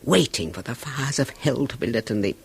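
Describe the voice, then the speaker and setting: A husky, anguished wife in a 1970s radio play. She pleads emotionally in a gravelly voice that swings up and down a great deal. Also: a bass voice, animated, fairly quick, exaggerated voice. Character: anguished wife leaving her husband. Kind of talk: 1970s radio drama acting.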